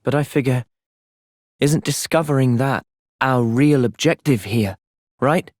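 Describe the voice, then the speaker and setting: A man's voice: mid-range voice, a little slow, darkish tone, dry recording, natural voice. Man acting an earnest young British soldier, dry studio sound. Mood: calm, considering. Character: earnest, thoughtful young male soldier, gentle but resolute. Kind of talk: video game cutscene voice acting.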